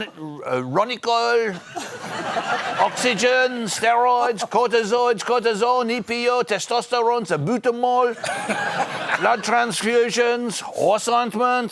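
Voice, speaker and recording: A smug, leering Frenchman with a put-on accent, played by a man, drawling innuendo slowly. His voice is high and gravelly. The recording has a noisy hiss under it. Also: heavily acted. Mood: boastful. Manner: innuendo-laden, theatrical, drawled. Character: lecherous pompous French man, cycling pundit. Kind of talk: comedy sketch in character.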